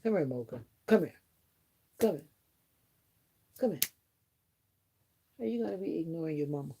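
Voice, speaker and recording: A woman's voice: deep voice, expressive, normal speed, creaky, dark tone, slight background noise. A middle-aged woman chatting casually on a live stream, musing earnestly to her viewers in a reflective, rambling way. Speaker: livestreamer.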